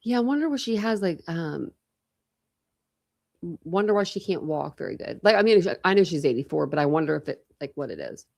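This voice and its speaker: A woman in her forties chats loosely with her livestream audience, restarting her sentences as she thinks aloud. Her voice sits a little low and carries a gravelly edge. A slight lisp from a dental retainer softens some of her words. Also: big pitch swings, fairly quick. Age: 40s. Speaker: true crime livestream host. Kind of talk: casual livestream chat with viewers.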